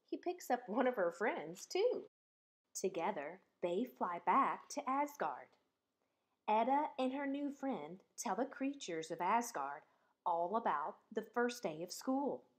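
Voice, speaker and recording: A woman reading a children's picture book aloud in an expressive, deliberate storytelling manner. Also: mid-range voice, slightly rough. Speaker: storybook reader.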